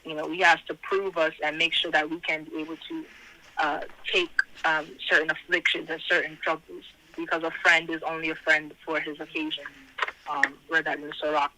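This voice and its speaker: A slightly hoarse man giving an informal Bible study over a conference phone line, reading verses aloud and explaining them in hesitant, repetitive phrasing. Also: a little high, level tone, normal speed, pushed and bright.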